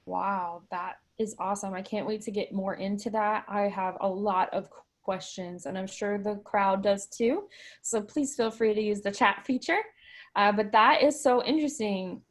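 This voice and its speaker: A woman's voice: mid-range voice, fairly even intonation, normal speed. A woman moderating an online alumni panel over video call, enthusiastic and encouraging as she introduces guests. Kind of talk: upbeat online panel hosting.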